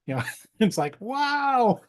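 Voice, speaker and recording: A man's voice: medium pitch, a little fast, darkish tone. A man chatting casually as he conducts an interview. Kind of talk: casual chat.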